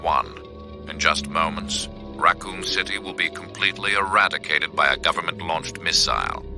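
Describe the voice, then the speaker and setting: Game villain: extremely low, gravel-edged voice, cold and commanding, measured menace, music underneath. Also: male voice. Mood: calculating, commanding. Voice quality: deep, gravelly. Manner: measured, ominous, formal. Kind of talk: dramatic video game cutscene acting.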